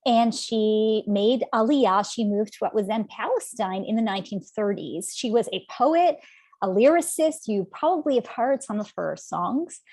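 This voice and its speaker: A woman in her forties, an author from Canada, answering interview questions from home in an animated, storytelling way with frequent ums. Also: a little high, normal speed, dry recording. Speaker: author guest.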